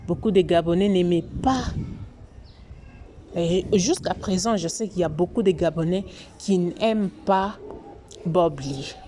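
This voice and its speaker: A woman's voice: lowish voice, unhurried, long pauses, dark tone, slight background noise. A woman from Congo, hosting a commentary vlog in a preachy, moralizing way that repeats its points. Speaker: YouTube channel host.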